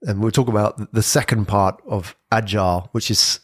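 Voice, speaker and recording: A man's voice: fairly low pitch, moderate pace, dry recording. Man explaining in a dry, close sound. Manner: lively and conversational, with frequent "sort of" hedges. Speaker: presenter on brand podcasting. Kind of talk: informal explainer talk.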